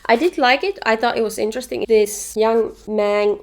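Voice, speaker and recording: A woman's voice: on the high side, normal speed. Finnish woman chatting about books. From Finland. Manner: enthusiastic, opinionated, chatty. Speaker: book vlogger. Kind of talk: casual book review chat.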